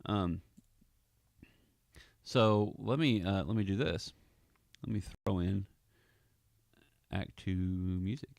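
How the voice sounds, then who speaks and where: A man's voice: low voice, takes it slowly, vocal fry, long pauses, darkish tone, no room sound, studio quality. A man giving a casual walkthrough of his audio drama editing, thinking aloud in fragments. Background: film score music.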